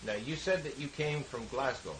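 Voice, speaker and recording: A man's voice: medium pitch, moderate pace, fairly bright tone, poor audio. A man conducting an oral history interview, patiently prompting and repeating his questions. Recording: hissy 1970s tape recording.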